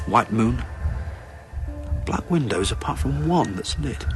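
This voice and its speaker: An actor playing a dogged police inspector in a wartime period audio drama, deliberate, probing and insistent. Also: male voice, mid-range voice. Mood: hushed, curious.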